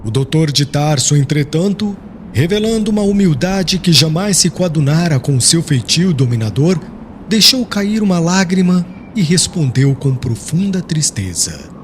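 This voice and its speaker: A male audiobook narrator reads dramatic literary prose solemnly. His voice sits fairly high and moves through a wide melodic range, with slight noise in the background. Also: lively intonation, normal speed. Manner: solemn, formal.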